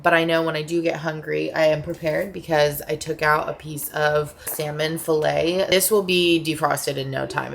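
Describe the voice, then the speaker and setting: A woman vlogger with an American accent, chatting casually in her home kitchen in a sleepy, relaxed voice. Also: deep voice, creaky, a little hiss or hum. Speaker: lifestyle vlogger. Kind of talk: casual vlog chat.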